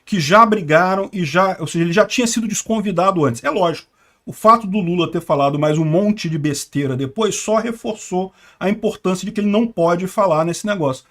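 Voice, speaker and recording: Sarcastic high-voiced Brazilian man. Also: fairly quick, a bit bright. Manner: sarcastic, indignant. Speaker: political commentator. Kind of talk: opinionated news commentary.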